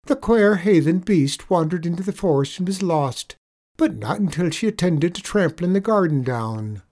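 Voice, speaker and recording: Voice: medium pitch, expressive, normal speed, a little mellow, pitched above the performer's own voice. A performer voicing a wily little Irish leprechaun man in a storybook tale, coaxing with a mournful lilt. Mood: piteous, pleading. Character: wily little leprechaun man spinning pitiful sob stories.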